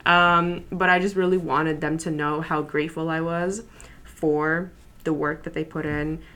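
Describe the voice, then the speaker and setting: A high school senior girl whose first language is Polish, chatting easily in an interview with many fillers and light laughs. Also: a little slow, clean tone. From New York City, USA. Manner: relaxed, chatty, frequent fillers and soft laughs. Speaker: interview guest, student. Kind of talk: casual interview.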